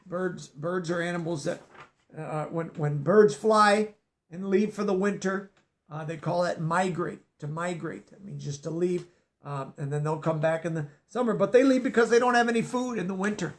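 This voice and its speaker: A man reading a children's picture book aloud, stopping to explain words in a teacherly way. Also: high voice. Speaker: storybook reader.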